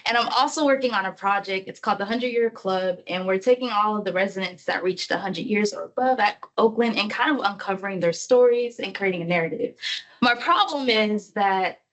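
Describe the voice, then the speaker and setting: A soft-spoken woman in the audience asks the speaker a question, sounding a little hesitant. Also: mid-range voice, brisk, lo-fi sound. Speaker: audience member asking a question. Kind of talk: question from the floor.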